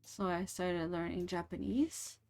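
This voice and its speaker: A woman's voice: fairly low pitch, a little mellow, faint music behind. A young woman whose first language is French, chatting casually and rambling with fillers while she streams a game. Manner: slow, rambling, many fillers and restarts.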